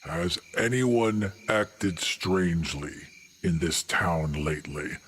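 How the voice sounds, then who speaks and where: A man voicing a massive, grave female centaur hunter in a tabletop game, with a husky low voice and a formal, measured manner. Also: fairly bright tone, a little hiss or hum. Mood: stern, questioning.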